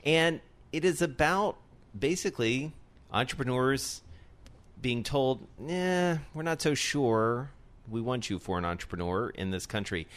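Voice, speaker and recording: A man hosts a business talk show, introducing his guest and posing questions. He speaks in a relaxed, conversational way, with an everyday middle-pitched voice. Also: a little slow. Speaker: radio show host. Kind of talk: interview hosting.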